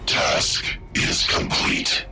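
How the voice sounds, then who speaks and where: A man voicing an ancient war-machine AI, speaking in terse, flat commands through a processed machine voice. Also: very slow, music in the background. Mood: cold, commanding.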